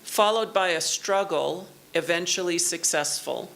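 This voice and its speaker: Slow, low-voiced woman giving a lecture. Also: vocal fry, a bit bright. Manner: slow, measured, pausing for the interpreter. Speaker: keynote lecturer. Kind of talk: academic lecture in English with consecutive interpreting. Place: university auditorium.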